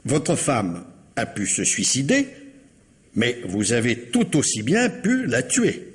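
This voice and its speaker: Probing police commissioner, lively intonation, reverberant. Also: male voice, medium pitch. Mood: reasoning. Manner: insistent, repeats himself, measured questioning. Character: probing police commissioner, courteous, insistent, wry man. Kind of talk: 1950s French radio mystery acting. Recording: reverberant hall-like radio drama sound.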